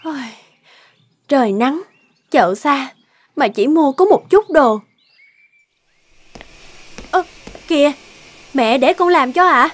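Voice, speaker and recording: A woman's voice: high-pitched, expressive, slow pace, long pauses. A woman voicing a whiny young cartoon wife. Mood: complaining. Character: young wife and scheming young mistress. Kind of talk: cartoon dubbing.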